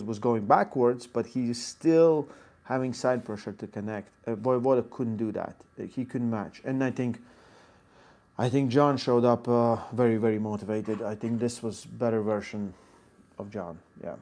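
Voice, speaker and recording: A man with a Latvian accent and a gravelly edge, answering chat questions as an arm wrestling coach. His pitch sits in a middle range, and he breaks his technique explanations into short, punchy bursts. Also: steady tone, unhurried, a little mellow. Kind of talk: live Q&A answering viewer chat.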